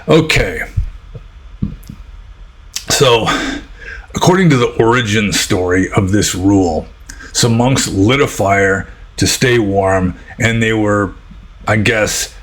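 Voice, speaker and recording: American guy doing a casual buddhist q&a, lowish husky voice, kinda rambly. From United States. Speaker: Buddhist teacher answering viewers' questions. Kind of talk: informal question-and-answer talk.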